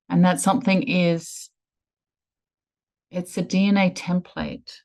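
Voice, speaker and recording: A woman leading a guided meditation in a soothing, measured way with lingering pauses. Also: on the low side, a little mellow.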